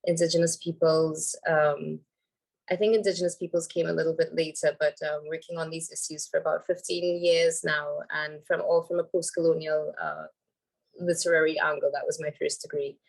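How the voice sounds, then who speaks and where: Hoarse woman with a cold. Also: low voice, flat delivery, moderate pace, rough recording. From Trinidad and Tobago. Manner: thoughtful, many ums and restarts. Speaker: panel speaker, environmental lawyer. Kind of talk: casual panel talk. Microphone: video call.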